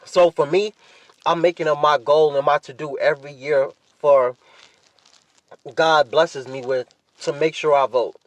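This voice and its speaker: A man's voice: a little high, fairly even intonation, a little slow, no echo, low-quality recording. An American man, youngish, chatting casually on a solo podcast in a laid-back, rambling way with plenty of swearing. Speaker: podcast host.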